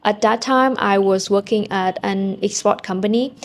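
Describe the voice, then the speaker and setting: A woman's voice: mid-range voice, normal speed, a little hiss or hum. A young woman from Vietnam with a Vietnamese accent, speaking English as a second language and telling personal stories to camera in a candid, self-deprecating way. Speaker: vlogger. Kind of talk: casual storytelling to camera. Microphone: small handheld camera mic.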